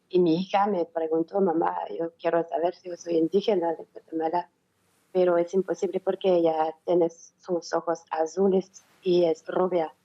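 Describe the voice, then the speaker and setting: Halting woman guest on a dull remote line. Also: fairly low pitch. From Belgium. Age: 30s. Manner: halting, simple phrasing, repeats words. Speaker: interview guest. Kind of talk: remote interview.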